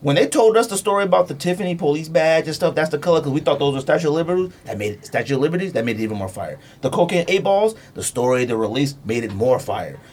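A man's voice: fairly high pitch, animated, fast, hardly any pauses, darkish tone. A man hosting a podcast, arguing casually and emphatically in slangy, repetitive phrases. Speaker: podcast host. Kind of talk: animated sneaker debate, casual chat.

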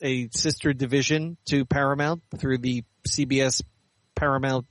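A man's voice: medium pitch, fairly even intonation, moderate pace, no echo, rough recording. A middle-aged or older man answering interview questions in a candid, articulate, explanatory way. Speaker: interview guest, science fiction author and editor.

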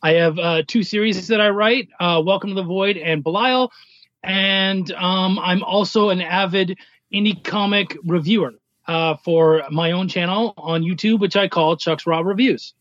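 A man's voice: sits high, moderate pace, fairly bright tone. A man from Canada calling in as a podcast guest, talking in a casual, chatty, informal way. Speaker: podcast guest, comic writer and editor. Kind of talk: casual conversational interview. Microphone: remote call-in. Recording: dull, lo-fi call-in line.

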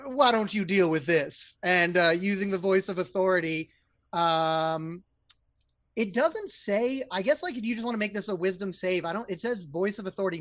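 A man's voice: high voice, a bit bright, no echo, studio quality. A man playing a character in a tabletop game, thinking aloud and checking the rules as he goes. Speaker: player. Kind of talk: casual tabletop play.

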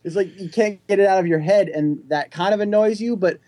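A young man hosting a casual music chat, telling loose, animated stories and swearing freely. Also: high voice, brisk, dry recording. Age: young adult. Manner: animated, loose and profane storytelling.

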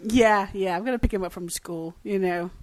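A woman's voice: mid-range voice, normal speed. Sarcastic British woman, comedy podcast. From Britain. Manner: dry, sarcastic, self-mocking humor. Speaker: podcast co-host. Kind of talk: casual comedic chat.

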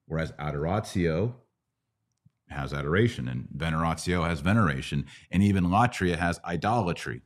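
A middle-aged American man hosting a religious Q&A, explaining things casually like a teacher and often checking in with a quick "right?". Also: low-pitched, expressive. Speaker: podcast host answering Bible questions.

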